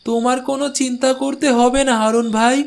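A man's voice: very high-pitched, level tone, fast talker, vocal fry, a little hiss or hum. A man voicing a kindly, helpful village porter who reassures his friend in a cartoon.

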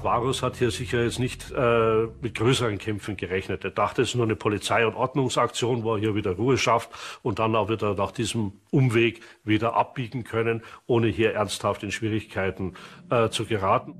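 A man's voice: lowish voice, steady tone, brisk, a bit bright, a little hiss or hum. A man explaining spontaneously as an expert commentator in a documentary interview.

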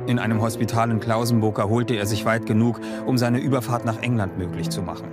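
A male actor plays an earnest young doctor narrating a radio drama. His mid-pitched voice is measured and serious, and loud music runs underneath it. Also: steady tone, natural voice. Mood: sober, measured.

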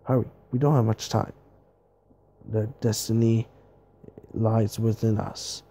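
A man voicing an urgent army commander in halting, repetitive, improvised game-style battle lines. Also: lowish voice, level tone, warm tone, slightly rough, heavily acted. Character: urgent male army commander barking orders at troops.

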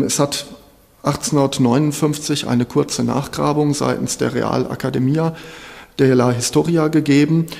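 A man's voice: mid-range voice, creaky, a little mellow. A man giving explanatory interview talk as an expert. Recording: long reverberant hall echo.

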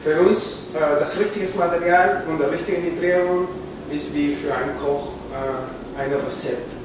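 A man's voice: fairly high pitch, unhurried. A man in his forties with a Chilean Spanish accent explains a craft in hesitant, non-native phrasing. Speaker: instrument maker and musician. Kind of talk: explaining a craft process to camera. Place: indoor production workshop. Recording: echoey workshop with slight noise.

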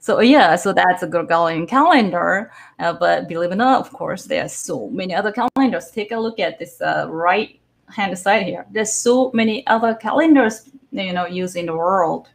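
A woman's voice: lowish voice, animated, normal speed, creaky. A middle-aged woman from Japan, a native Japanese speaker with a Japanese accent, giving a chatty live-stream tech talk full of fillers and self-corrections over a video call from home. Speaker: guest developer speaker. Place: home room, indoors. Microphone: video call webcam.

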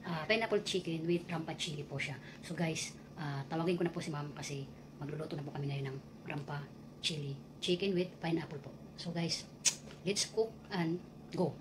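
A woman's voice: low-pitched, brisk. A young Filipino woman, a native Tagalog speaker, chatting casually as a cooking vlog host and often addressing her viewers. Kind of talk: casual chat to camera, mixing languages. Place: small tiled home kitchen. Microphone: phone at arm's length. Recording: sizzling, noisy kitchen phone recording. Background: frying and stirring noise.

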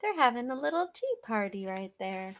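A young woman reading a children's picture book aloud in an animated, drawn-out, singsong way, like a teacher talking to small children. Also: a little high, noisy background. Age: young adult. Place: small living room, seated in an armchair indoors.

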